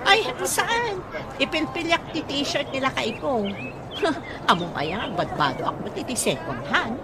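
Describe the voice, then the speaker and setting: Fussy, vain Ilocano mum played by a woman, high and very animated, radio comedy, noisy recording. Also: unhurried, crowd noise, exaggerated voice. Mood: haughty chat. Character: fussy, vain mother with a sharp temper. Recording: noisy radio drama recording, ambient sounds.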